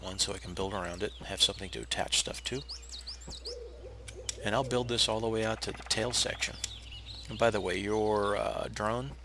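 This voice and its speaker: A hoarse, raspy man who is feeling unwell, casually talking viewers through a game build step by step with plenty of pauses and restarts. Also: fairly low pitch, noisy background. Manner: relaxed, explanatory, with frequent uh fillers and restarts. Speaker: game tutorial narrator. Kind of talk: casual step-by-step gameplay tutorial.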